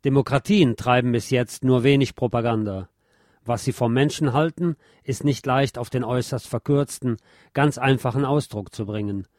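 A man with a deep voice reading a scripted essay aloud in a measured, formal, literary manner. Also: darkish tone, dry recording, clean studio sound. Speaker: host reading essays on history.

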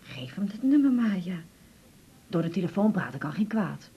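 A woman's voice: mid-range voice, very animated, normal speed, muffled recording, heavily acted. An actress playing a fussing, self-effacing middle-aged mother in a radio drama, homely and bustling, with short questioning replies. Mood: flustered, reluctant. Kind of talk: naturalistic radio drama acting. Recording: clear background noise and room ambience.

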